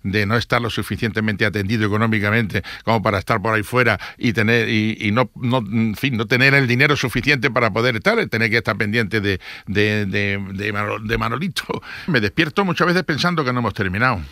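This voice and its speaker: An older man recalls things as an interviewed police inspector. His lowish voice is bright and hard-edged, and he halts and doubles back on words. The sound is dry and close. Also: no echo. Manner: halting, repeats words.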